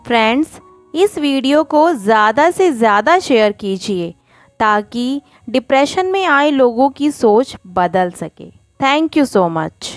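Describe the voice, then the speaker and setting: A woman narrating a scripted moral story in a deliberate, phrase-by-phrase way. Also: fairly high pitch, animated, no echo, faint music behind.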